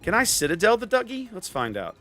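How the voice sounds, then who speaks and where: A man's voice: high voice, wildly swooping pitch, brisk, fairly bright tone. A man chatting casually with viewers while commentating on his own live gameplay, thinking aloud in an opinionated, conversational way. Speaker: game live streamer. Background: faint game music.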